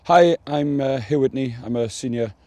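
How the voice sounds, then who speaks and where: A man's voice: medium pitch, moderate pace, a little mellow, dry recording. A man, a senior team rider, speaking in a heartfelt, rambling way in an interview.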